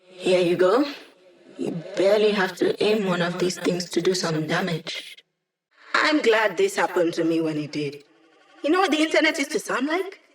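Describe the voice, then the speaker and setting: A woman's voice: lowish voice, lively intonation, moderate pace, natural voice, low-quality recording. An actress playing a wry young female hacker who supports the team with dry, sarcastic quips in game voice lines. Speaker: video game character.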